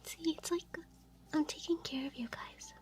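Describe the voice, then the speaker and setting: A woman whispering softly on a live stream, chatting with her viewers and giggling through her words. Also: high voice, fairly even intonation, normal speed. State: laughing to tears.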